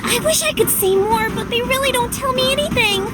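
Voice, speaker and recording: An actress voicing a small, spirited girl fairy in a video game, with a girlish, expressive and plain-spoken delivery, eager to be useful. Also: brisk. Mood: earnest. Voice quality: high, girlish voice. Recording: loud background music. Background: game music.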